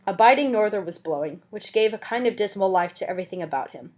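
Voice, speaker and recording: A woman's voice: medium pitch, lively intonation, fast talker, darkish tone. A woman reading a classic novel aloud as narrator, in an earnest, theatrical and emphatic manner. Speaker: amateur audiobook reader. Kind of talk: dramatic literary reading aloud.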